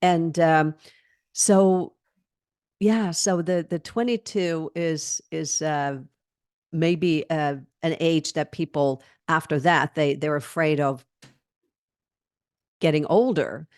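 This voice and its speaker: A woman's voice: sits low, steady tone, slow, long pauses, darkish tone, dry recording, clean studio sound. A woman in her sixties answering interview questions over a video call, earnest and reflective, laughing often as she talks. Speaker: fitness trainer and guest.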